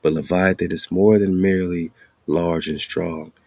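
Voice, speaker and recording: A man's voice: deep voice, fairly even intonation, moderate pace, a little mellow, no echo, studio quality. A man hosting a show, reading aloud and commenting casually with informal, slangy asides. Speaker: radio show host.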